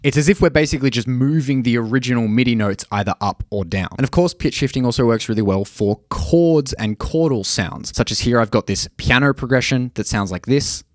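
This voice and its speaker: A man giving a measured, clearly explained tutorial narration as a music production tutor. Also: mid-range voice, dry recording, studio quality.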